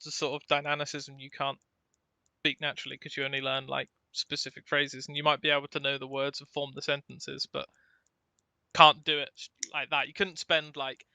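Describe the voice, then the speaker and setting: A man's voice: mid-range voice, level tone, fairly quick, bright, forceful tone, no echo. A man co-hosting a podcast in casual chat, talking in an informal, rambling, anecdotal way. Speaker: podcast co-host.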